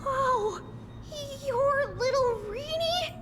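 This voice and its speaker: A woman's voice: extremely high pitch, lively intonation, drawn-out words, full character voice. A woman voicing a cheerful, chatty little girl with a childlike voice in an anime game. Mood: excited. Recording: loud background music.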